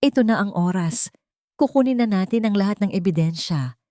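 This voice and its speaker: A woman's voice: medium pitch, lively intonation, dark tone, no echo, studio quality, close to an everyday voice. A woman voicing an eager adult man who plans with the heroine. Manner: brisk, eager.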